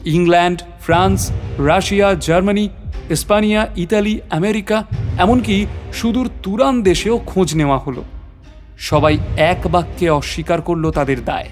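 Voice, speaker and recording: একজন পুরুষ অভিনেতা প্রাকৃতিক ইতিহাসের অধ্যাপকের চরিত্রে নাটকীয়, রহস্যময় ভঙ্গিতে নিজের অভিযানের গল্প বলছেন।. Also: male voice, high-pitched, normal speed, soft background music, close to an everyday voice. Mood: scholarly, intrigued. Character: male natural history professor recounting his adventure. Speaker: narrator. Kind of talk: dramatic scripted story narration.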